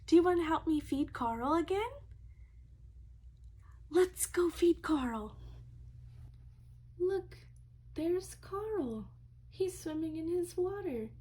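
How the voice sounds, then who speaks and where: A woman teacher reading a storybook aloud to young children in an expressive way, speaking to them directly. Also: very high-pitched, takes it slowly, pauses often.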